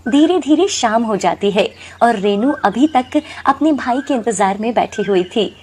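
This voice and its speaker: A woman's voice: on the high side, expressive, a little slow, darkish tone, slight background noise. A woman narrating a scripted moral story.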